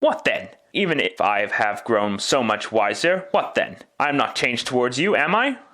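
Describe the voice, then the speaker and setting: An actor playing Scrooge, a defensive miser in a classic radio drama, speaking with theatrical emphasis. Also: male voice, medium pitch, sing-song, normal speed, sharp tone. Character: Scrooge, tense defensive miser, old and younger.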